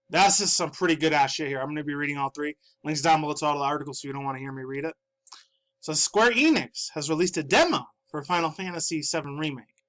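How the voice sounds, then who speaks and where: A man's voice: fairly high pitch, fairly bright tone, poor audio. Stuffy-nosed man in his thirties rambling profanely. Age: 30s. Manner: rambling, profane, laughing often. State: stuffy from dust. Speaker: gaming news YouTuber. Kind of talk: casual video commentary. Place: his own room.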